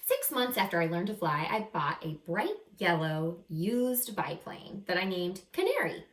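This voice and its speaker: A woman teacher reading a picture book aloud to children in an expressive way, with chatty asides and stretched key words. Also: fairly low pitch, a little slow. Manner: animated, acts out characters, stretches key words. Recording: roomy echo, some distance from mic.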